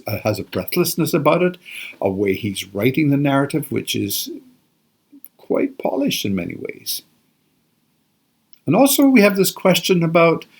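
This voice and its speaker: Older male lecturer, gravelly voice, reflective and self-correcting. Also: on the low side. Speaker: biblical studies scholar. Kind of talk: informal lecture.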